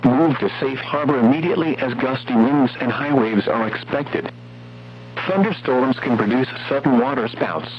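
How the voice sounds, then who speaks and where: An American man reads scripted warning bulletins in a formal, impersonal, synthetic-sounding voice of medium pitch. He sounds like an automated weather radio announcer. The broadcast is dull and lo-fi, with a faint layer of noise and hum. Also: normal speed.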